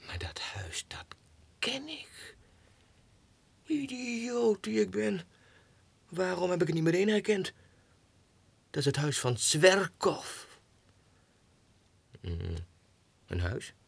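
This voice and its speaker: Excitable self-doubting clerk, 1960s radio drama, breathy and hoarse-edged, creaky, muttered halting monologue. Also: male voice, sits high, dry recording, heavily acted. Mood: agitated resolve. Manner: halting, muttering, talking to himself.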